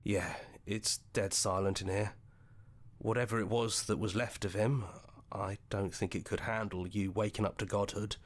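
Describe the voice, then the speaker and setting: A man voicing an earnest, soft-spoken young hero in a video game, thoughtful and loyal. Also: lowish voice, little pitch movement, moderate pace, natural voice. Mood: wary, thoughtful. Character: earnest heroic young man, gentle inner voice and loyal companion. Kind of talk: visual novel game voice acting.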